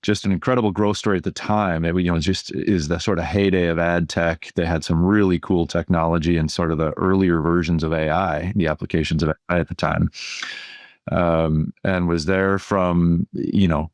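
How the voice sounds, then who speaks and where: A man speaking as an interview guest, explaining things in a measured, conversational way with frequent verbal hedging. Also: sits low, steady tone, no room sound. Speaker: finance executive, podcast guest.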